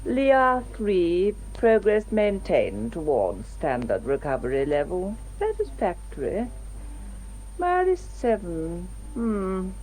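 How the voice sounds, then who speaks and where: Brisk, clinical woman doctor with swooping pitch. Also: very animated, darkish tone, rough recording. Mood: clinical, matter-of-fact. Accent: British. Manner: clinical, matter-of-fact, articulate. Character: brisk, clinical female doctor, detached and talkative. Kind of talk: British radio drama acting.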